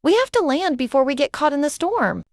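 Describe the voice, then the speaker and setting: A small adventurous storybook squirrel, played by a woman narrator, squeaky and high. He is plucky and brisk, with lively swings of pitch as he gives instructions. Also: dry recording. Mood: plucky, warning. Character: tiny adventurous male squirrel, plucky and knowing.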